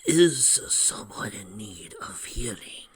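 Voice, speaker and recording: Guy doing a slow whispery french lynx priest, solemn and secretive, story reading. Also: lowish voice, big pitch swings, heavily acted. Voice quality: quiet, breathy.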